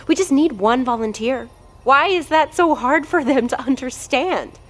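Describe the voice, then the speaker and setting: An actress playing an arrogant, impatient research doctor, haughty and cutting in an audio drama. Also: female voice, high-pitched, animated, unhurried. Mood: scornful, impatient. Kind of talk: scripted audio drama dialogue.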